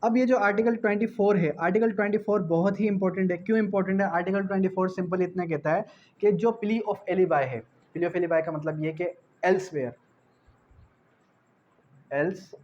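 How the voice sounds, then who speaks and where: A Pakistani man with a high-pitched voice delivers an exam-prep lecture. He explains didactically, repeating key phrases and answering his own questions, with English terms mixed in. The recording sounds dull and lo-fi. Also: normal speed, darkish tone, a little hiss or hum. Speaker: law tutor.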